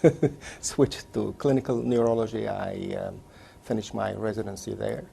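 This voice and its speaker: A man in his sixties from Turkey, recounting his career in a measured, step-by-step way during an oral history interview. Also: lowish voice, fairly even intonation, creaky, mellow, slightly rough. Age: 60s. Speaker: interviewee, neurologist.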